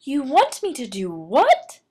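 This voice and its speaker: A woman voicing a young female cockroach in a storybook, dainty, anxious and sharp-witted, with a coyly polite way of speaking. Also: high-pitched, huge pitch swings, slow pace, slightly rough, voice pushed up, heavily acted. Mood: aghast, flustered.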